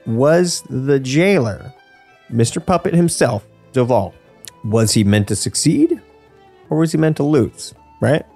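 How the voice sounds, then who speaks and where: A man, probably in his thirties, hosting a casual podcast chat in a jokey, playful, animated manner. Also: medium pitch, measured pace, soft background music. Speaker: podcast host. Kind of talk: casual podcast chat about game lore.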